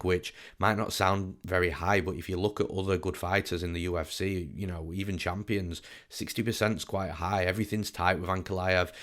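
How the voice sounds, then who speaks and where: Guy doing fight breakdowns, really low flat voice, bit croaky like he's got a cold. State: slightly croaky, under the weather. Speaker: MMA betting analyst, show host. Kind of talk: informal fight breakdown commentary.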